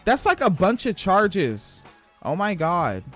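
A young man from Washington State talks in a high, light voice, reading odd news stories and breaking off into rambling, profane asides. He laughs often and keeps things loose and casual. Faint music sits in the background. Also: huge pitch swings, takes it slowly, a bit bright. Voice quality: high-pitched voice. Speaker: podcast host.

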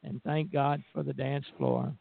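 A man's voice: fairly high pitch, flat delivery, unhurried, creaky, slightly rough, no echo. Older man on a tinny phone line. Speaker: radio show host. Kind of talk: casual chat. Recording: phone-like, narrow band audio.

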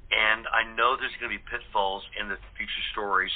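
A man's voice: fairly low pitch, little pitch movement, fairly quick. A man speaking as an interview guest, casual and earnest, rambling and often repeating words. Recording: tinny phone-like line.

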